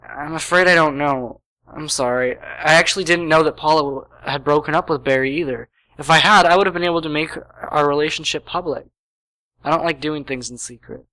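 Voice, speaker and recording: A man's voice: fairly high pitch, sharp tone, rough recording. A man playing a nervous, secretive young auto shop assistant who stammers, in an amateur radio play. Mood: confessional. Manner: halting, stammering.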